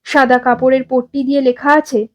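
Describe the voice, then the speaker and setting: A woman reading a scripted story as narrator, with dramatic, expressive delivery. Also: high-pitched, moderate pace, muffled recording. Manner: expressive, acts out characters' dialogue.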